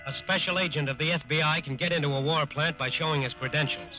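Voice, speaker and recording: A man's voice: a little high, steady tone, narrow, tinny audio, music in the background, close to an everyday voice. Man as solemn old-time radio crime narrator. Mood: solemn, dramatic. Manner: measured, emphatic, formal. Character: authoritative, solemn male crime drama narrator. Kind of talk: scripted 1940s radio narration.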